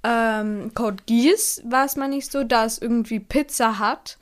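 A woman's voice: a little high, fairly even intonation, a little slow. A woman co-hosting a casual podcast, talking hesitantly with many fillers. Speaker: podcast co-host. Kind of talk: casual chat.

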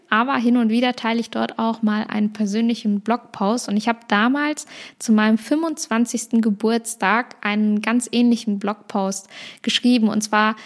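Woman, late 20s, podcast host, flat, even delivery, thoughtful and meandering. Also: a little high. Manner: reflective, rambling with small restarts. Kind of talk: casual personal monologue.

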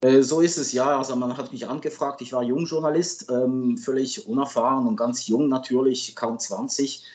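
A man's voice: mid-range voice, fairly even intonation, normal speed, darkish tone. Hesitant Swiss man in his fifties, native French speaker. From Switzerland. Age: 50s. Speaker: sports journalist and guest expert. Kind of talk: conversational interview answers.

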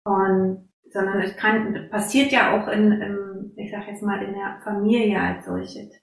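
A woman's voice: mid-range voice, fairly even intonation, measured pace, vocal fry. A woman interviewing her guest, thinking aloud in long reflective questions and backing her guest up with frequent murmurs. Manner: reflective, frequent "mhm" backchannels. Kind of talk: conversational interview. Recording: echoey room.

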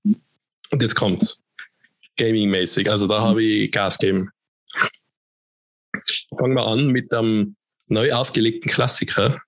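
Gravelly low-voiced man chatting. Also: a little slow, pauses often, no echo, low-quality recording. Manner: relaxed, chatty, rambling with fillers. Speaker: podcast co-host. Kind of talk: casual chat.

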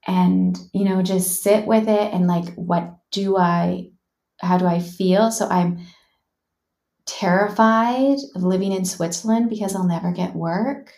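A woman's voice: on the low side, measured pace, gravelly, a little mellow. Candid, reflective woman from Canada. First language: English. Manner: frank, thoughtful, many "like" and "you know" fillers. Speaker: guest, life coach. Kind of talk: reflective personal storytelling.

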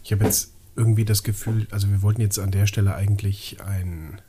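A man's voice: fairly low pitch, fairly even intonation, a little fast, noisy background. A man co-hosting a casual podcast in a joking, self-deprecating way, laughing often. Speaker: podcast co-host. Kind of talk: casual chat.

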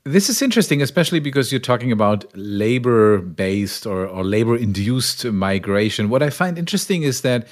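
A man's voice: medium pitch, sing-song, brisk, hardly any pauses. A male podcast host asking long, thoughtful questions in an academic interview.

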